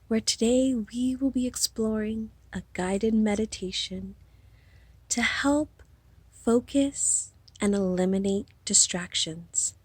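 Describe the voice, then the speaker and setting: Woman, meditation guide, extremely slow pace, breathy husky voice, soothing, long pauses. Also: fairly high pitch, no room sound.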